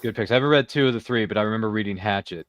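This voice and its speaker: A man's voice: on the low side, very fast, no echo. A man hosting a casual interview show, chatty and informal, often speaking directly to his listeners. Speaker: podcast host.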